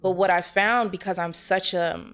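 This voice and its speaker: A young woman chatting casually and candidly as an interview guest, telling stories in an animated way. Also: fairly low pitch, normal speed, faint music behind. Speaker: guest actress being interviewed.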